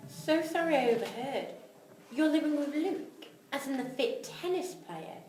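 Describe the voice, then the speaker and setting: An actress playing a chirpy, sharp-witted barmaid who speaks frankly in a drama script reading. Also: female voice, sits high, lively intonation, normal speed. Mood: interested. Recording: echoey room, distant, faint noise.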